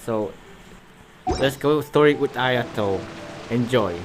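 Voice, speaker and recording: Man, mid-pitched, slow, casual gameplay intro, very noisy phone recording. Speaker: game video uploader.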